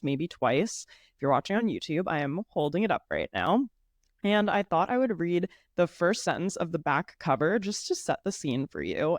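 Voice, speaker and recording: A woman's voice: deep voice, no echo, clean studio sound. A woman in her thirties hosting a casual solo podcast, chatty and upbeat, sounding a little congested. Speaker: podcast host.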